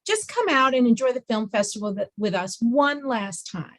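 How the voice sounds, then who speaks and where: A woman acts out a doting mother coaxing a grown son in a comedy script. The voice sits fairly high and moves up and down in an animated, placating way. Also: moderate pace. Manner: sweetly coaxing, protective. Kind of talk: comedy script table read.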